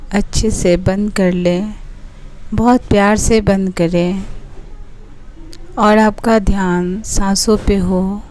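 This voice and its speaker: A woman leading a guided meditation, giving soothing, unhurried instructions in short phrases with pauses between them. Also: medium pitch, a little mellow, poor audio.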